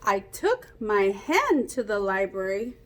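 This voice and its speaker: A woman reading a picture book aloud like a teacher, pausing to explain and ask young children questions. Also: mid-range voice, sing-song, slight background noise. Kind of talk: interactive children's read-aloud.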